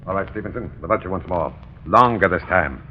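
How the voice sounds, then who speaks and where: Fast-talking criminal ringleader played by a man. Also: deep voice, highs cut off, over loud music, close to an everyday voice. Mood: brisk, commanding. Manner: brusque, commanding. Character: male criminal schemer, bossy, slippery ringleader. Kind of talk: 1930s radio drama acting. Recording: noisy old 1930s radio recording.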